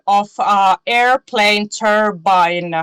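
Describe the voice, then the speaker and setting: Finnish-accented woman guest, slow and giggly, dry close sound, hunts for words. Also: mid-range voice, a bit bright, smooth, no room sound. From Finland. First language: Finnish-Swedish bilingual. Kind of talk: casual interview chat.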